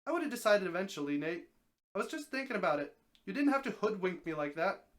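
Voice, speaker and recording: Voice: medium pitch, very animated, fast talker, lightly acted. A reader voicing a wary, questioning ordinary guy. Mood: grumbling. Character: ordinary man, wary, hopeful, mildly grumbling.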